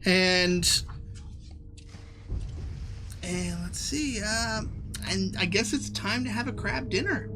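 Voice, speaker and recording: Woman w music behind her, slow talker, casually saying her moves bit by bit in a tabletop game. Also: mid-range voice.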